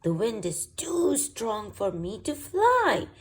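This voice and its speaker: A woman voicing an excitable, kind young fairy princess in a storybook, with exclamatory, sing-song delivery. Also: a little high, slow pace, breathy edge, exaggerated voice. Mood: calling out.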